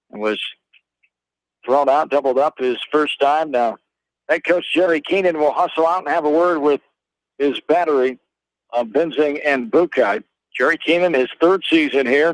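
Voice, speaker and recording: An American man calling a baseball game live on the radio as play-by-play announcer, in terse, clipped phrases with pauses. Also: a little high, no room sound, rough recording.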